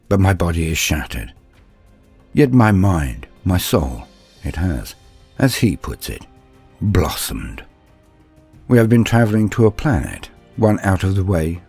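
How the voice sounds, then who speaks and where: A man narrating a scripted dramatic story in the first person, measured and pausing mid-sentence. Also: deep voice, a little mellow, husky.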